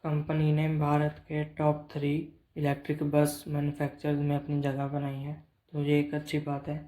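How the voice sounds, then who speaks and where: A man's voice: on the high side, monotone, normal speed, warm tone, rough recording. A man presenting a financial update in a plain, explanatory way, mixing in English business terms. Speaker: presenter of company earnings updates. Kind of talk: explanatory financial commentary, semi-scripted.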